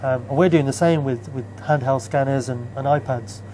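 Shop owner, 30s, mellow warm voice, even explanatory delivery, camera mic. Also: male voice, medium pitch, moderate pace, a little hiss or hum, poor audio. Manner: calm, explanatory, with many fillers. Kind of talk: on-site interview. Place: shop and community hall, indoors.

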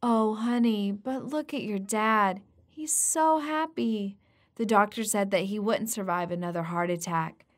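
A woman's voice: high voice, slow, few pauses, voice pushed up. A woman voicing a middle-aged mother who coaxes her daughter into an arranged engagement. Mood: coaxing. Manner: coaxing.

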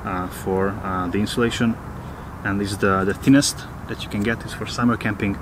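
A man in his thirties from Hungary, casually talking to a close-held camera outdoors in the woods, often pausing with uh. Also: fairly low pitch, fairly even intonation, noisy background. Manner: hesitant, many filler uhs. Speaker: vlogger.

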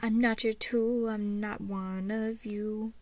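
A woman's voice: high voice, pitched above the performer's own voice. A woman voicing a hot-tempered, sarcastic young woman in a dramatic story, with a snappy, defiant manner. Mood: defiant singing. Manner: snappy, retorting, breaks into slow singing.

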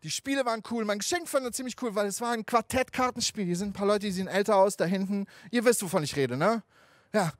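A man in his thirties delivers a stand-up set into a handheld mic, out on a rooftop. His storytelling is animated and conversational, peppered with swearing. The sound is dry and close, with no room echo. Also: high voice, fast talker. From Afghanistan, raised in Germany. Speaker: stand-up comedian. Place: outdoor rooftop stage.

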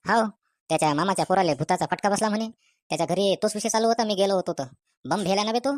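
A woman voicing an excitable, superstitious young village man with a rural Vidarbha Marathi accent in comic cartoon dialogue. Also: mid-range voice, quick pace, dry recording, clean studio sound, natural voice. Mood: gossipy.

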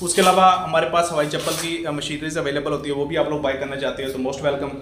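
A young man from Pakistan, filming himself on a phone at arm's length in a small workshop. He gives an earnest, repetitive sales pitch with many fillers. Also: level tone, fast talker, a little hiss or hum. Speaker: small business owner promoting machines. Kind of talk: selfie sales vlog. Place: small workshop and shop indoors. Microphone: phone held at arm's length.

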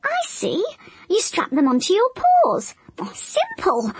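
A woman's voice: very high voice, wildly swooping pitch, slow, no echo, voice pushed up, full character voice, rough recording. A woman voicing a boastful, clumsy young male crocodile who is cocky and sometimes stammers in an anxious voice, read as a storybook.

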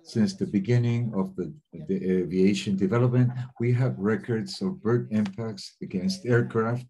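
A man with a low, mellow voice interpreting a webinar talk. He speaks in a steady, measured way with an airy, husky edge, and pauses now and then as he works. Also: low-quality recording. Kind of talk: simultaneous interpretation of webinar talks. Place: remote webinar.

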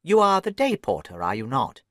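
A man voicing a keen, brisk detective who asks crisp, probing questions. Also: mid-range voice, huge pitch swings, a bit bright, dry recording, studio quality.

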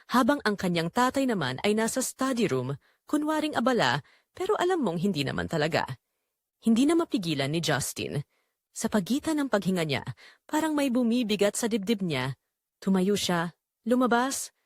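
Expressive woman narrating an audio drama. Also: mid-range voice, no room sound, studio quality. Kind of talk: dramatic scripted story narration.

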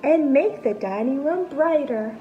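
Woman as an eager, high-pitched young boy dinosaur. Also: measured pace, highs cut off, a little hiss or hum. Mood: insistent, excited. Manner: excitable, insistent, exclaiming. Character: eager, devoted young male T-Rex son. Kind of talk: storybook character voice.

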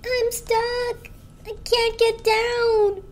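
A woman's voice: extremely high pitch, level tone, very slow, voice pushed up, full character voice. A performer voicing a hurt, helpless electric blanket with a plaintive whimper, a woman's voice in a storybook reading. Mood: pained, whimpering.

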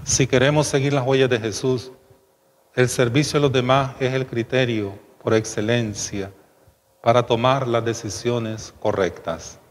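A middle-aged man, a bishop presiding at Mass, preaching and leading prayers in a measured, solemn way. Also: mid-range voice. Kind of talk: homily and liturgical prayers. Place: chapel with altar, indoors. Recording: long chapel reverb, faint noise.

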